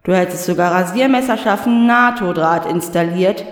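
A man voicing Death as a calm, patient spirit who speaks in a measured, dryly stern way. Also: high-pitched, lightly acted. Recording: echoey room with long reverb.

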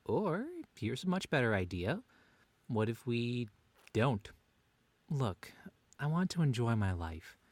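A man's voice: medium pitch, slow, long pauses, dry recording. A man playing a long-suffering husband and father who banters drily in a scripted audio comedy drama. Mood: deadpan exasperated. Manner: dry, deadpan, bantering.

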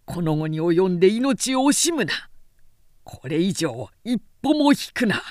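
A woman's voice: mid-range voice, sing-song, measured pace, a little mellow, dry recording, clean studio sound. A woman voicing a male samurai general who declaims in archaic warrior speech for a storybook reading. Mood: rousing, defiant. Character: commanding male samurai general rallying troops.

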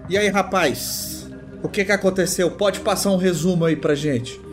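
A man's voice: sits high, moderate pace, faint music behind. A Brazilian man playing a tabletop game, chatting casually and describing his character's moves. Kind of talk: casual role-play chat.

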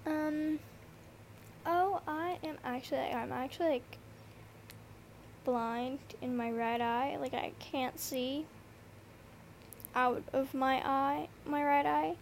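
A woman's voice: sits high, slow pace, gravelly, long pauses, slight background noise. A teenage girl with a slight lisp, tired and rambling through a casual solo podcast, swearing often and full of fillers. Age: teens. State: tired from lack of sleep. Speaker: podcast host. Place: noisy bedroom. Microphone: earbud microphone.

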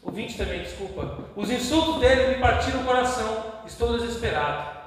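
A Brazilian man preaching a sermon with passion, moving between hushed and loud. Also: very high voice, expressive, brisk, a little hiss or hum. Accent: Brazilian Portuguese. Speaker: pastor. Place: church hall. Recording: echoey church hall with long reverb.

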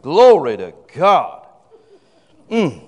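A man's voice: on the high side, wildly swooping pitch, very slow, lo-fi sound. Exuberant preacher man in a reverberant hall. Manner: exuberant, calls out praise and shouts "woo". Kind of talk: sermon with a congregant.

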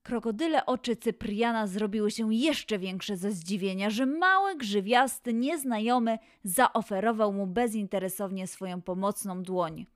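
Casting: female storyteller for children's tales, expressive and dramatic, mid-pitched, with music underneath. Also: measured pace, few pauses, no echo. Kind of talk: scripted children's story narration.

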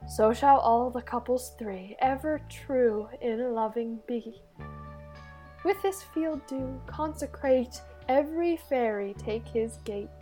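A woman's voice: on the high side, darkish tone. A woman playing a serene, regal fairy ruler, giving stately, lilting incantatory verse in a radio play.

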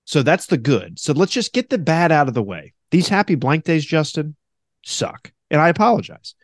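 A man with a deep voice hosting a casual podcast, animated and quick to mock radio announcers in a high voice. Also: dry recording, clean studio sound. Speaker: podcast host; former radio host.

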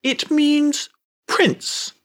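A woman's voice: sits high, fairly even intonation, very slow, pitched above the performer's own voice. A woman reading a storybook aloud and voicing a small, friendly male red fish with a cheerful, chatty manner.

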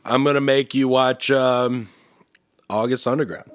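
A young man hosting a podcast with crude, mocking, profane banter. His mid-pitched voice sounds close and dry on a studio mic, with no room around it. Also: fairly even intonation, a little slow, no echo, soft background music. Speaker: podcast host.

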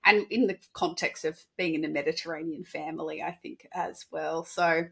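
A woman from Sydney with an Australian accent talks about her career in a chatty, animated way, with quick restarts. Her voice sits on the low side for a woman but sounds bright and forceful. She comes across as casual and talkative. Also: gravelly, husky. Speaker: dietitian, podcast guest. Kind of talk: casual interview talk.

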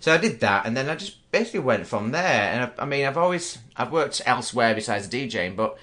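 A man's voice: mid-range voice, sing-song, a little fast, sharp tone. A middle-aged man with a Yorkshire accent, a DJ co-hosting a podcast in a casual, teasing way, laughing easily. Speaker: podcast co-host and DJ.

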